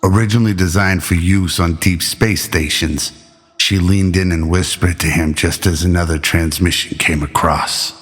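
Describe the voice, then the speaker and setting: A man narrating a scripted sci-fi radio drama in an old-school, measured storytelling manner. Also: sits low, steady tone, fairly bright tone, breathy edge.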